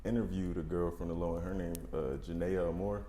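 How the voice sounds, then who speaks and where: A man's voice: low-pitched, steady tone, fairly quick, dark tone. A man hosting a casual interview, talking in informal slang with some swearing, in a roomy recording. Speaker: interviewer, host.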